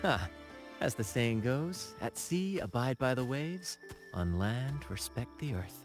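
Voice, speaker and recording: A man's voice: medium pitch, big pitch swings, slow. A man voicing a video game's flamboyant young troupe leader, playful and gallant, with theatrical, flowery phrasing. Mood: playful showmanship. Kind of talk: video game voice acting. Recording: game music behind the voice.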